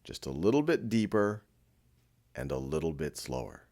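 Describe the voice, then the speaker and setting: Male meditation guide: gentle and reassuring, unhurried delivery with a lively, moving pitch. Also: creaky.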